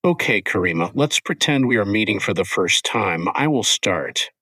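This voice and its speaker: A man co-hosting an English-learning podcast, speaking in clear, simple scripted sentences. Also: fairly low pitch, expressive, normal speed, sharp tone, dry recording. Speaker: podcast co-host.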